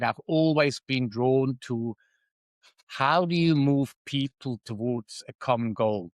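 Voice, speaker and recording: A man's voice: mid-range voice, no echo. A man in his fifties from Switzerland with a Swiss accent, a guest talking reflectively with frequent ums and uhs. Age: 50s. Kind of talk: casual interview talk.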